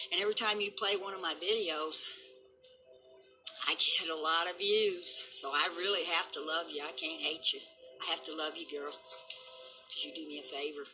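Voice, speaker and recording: A woman in her seventies with a rough voice, ranting defiantly and boastfully at her critics in an unscripted vlog. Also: lowish voice, bright, forceful tone, poor audio. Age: 70s. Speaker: vlogger and dog breeder. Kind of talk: unscripted rant to camera.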